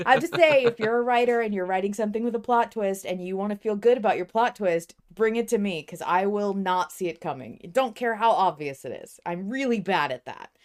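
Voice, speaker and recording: A woman's voice: mid-range voice, fairly quick, hardly any pauses. A woman hosting a podcast in casual chat, playful and happy to poke fun at herself. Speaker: podcast host.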